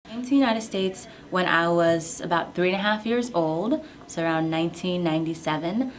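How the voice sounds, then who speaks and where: A young adult woman from Kenya giving thoughtful, measured interview answers with frequent pauses and fillers. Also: lowish voice, reverberant.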